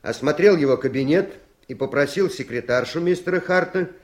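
A man's voice: a little high, a little fast, natural voice. A man acting a veteran police investigator giving formal, factual testimony in a radio play. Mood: matter-of-fact. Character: matter-of-fact male police investigator, veteran witness.

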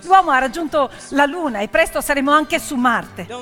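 A woman performing a theatrical monologue as a brave, impassioned teenage activist girl, speaking in deliberate, emphatic phrases. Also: high voice, lively intonation, a bit bright, pitched above the performer's own voice. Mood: impassioned rallying. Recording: long hall reverb, piano music behind.

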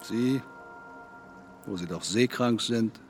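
An actor in a German radio drama playing a laconic, unmoved gravedigger who speaks in a terse, dry way. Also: male voice, on the low side, takes it slowly, faint music behind.

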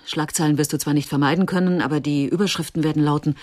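An actress playing a cool, calculating woman in a radio drama, husky and brisk, giving unsentimental orders. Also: deep voice, steady tone, a little mellow, no room sound, studio quality. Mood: commanding. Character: cool, commanding, calculating woman taking control of a crisis.

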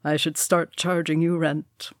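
A woman's voice: low-pitched, flat delivery, a little slow, dry recording, studio quality. A woman voicing a young male dwarf warrior in a storybook reading, hearty, bragging and teasing. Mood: boastful, joking.

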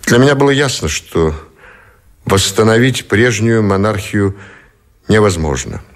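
A dignified naval officer in a radio play. His voice is low and his delivery is deliberate and formal. He sounds reserved, choosing each phrase with measured care. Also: expressive. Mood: formal, composed. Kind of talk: Russian radio drama acting.

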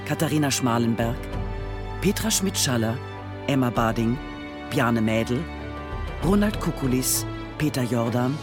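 A man's voice: medium pitch, animated, a little slow, long pauses, music in the background. A man reading a scripted announcement as the closing announcer of an audio drama podcast. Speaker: credits announcer.

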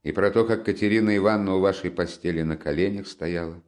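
A brooding young man from a storybook reading, sullen and curt, with a somewhat low voice. He is played by the male reader in his own register. The tone stays dark and withdrawn. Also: fast talker, natural voice. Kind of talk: audiobook character reading.

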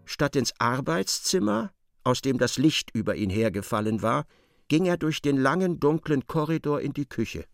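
Animated, creaky male radio play narrator. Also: medium pitch, moderate pace.

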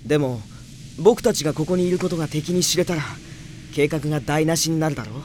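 A male actor playing a gentle, cautious young mage in an audio drama, soft-spoken, earnest and considerate. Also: a little high, quick pace, a little hiss or hum, natural voice. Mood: worried, cautious. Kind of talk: Japanese anime audio drama acting.

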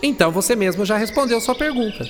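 A woman's voice: a little high, lively intonation, fast talker, a little hiss or hum, close to an everyday voice. A woman playing a grumpy, aloof, brilliant male scientist in a radio drama, with a curt, formal manner. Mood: curt, haughty. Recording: long reverb, music behind.

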